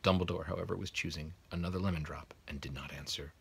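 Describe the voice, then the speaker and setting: A man's voice: low voice, fairly even intonation, quick pace, creaky, husky. A man in his thirties narrating a storybook expressively, recorded indoors at about arm's length. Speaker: guest reader. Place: small furnished room, indoors, in bed. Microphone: camera or phone at about arm's length.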